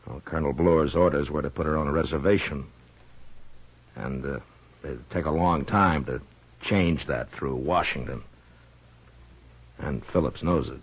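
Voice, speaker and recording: An actor playing a gruff, deliberate US marshal in a 1950s American western radio drama, halting and understated. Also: male voice, very deep voice, full character voice. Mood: grave, measured. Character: gruff, deliberate middle-aged male US marshal of the Old West. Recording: muffled vintage 1950s radio recording.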